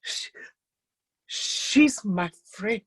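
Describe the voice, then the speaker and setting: An actress performing a monologue as a chatty, dryly amused life-drawing model who confides in the listener with hesitant, conversational asides. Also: female voice, medium pitch, lively intonation, extremely slow, breathy edge, full character voice. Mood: wistful. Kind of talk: theatrical monologue in a staged reading. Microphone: video call.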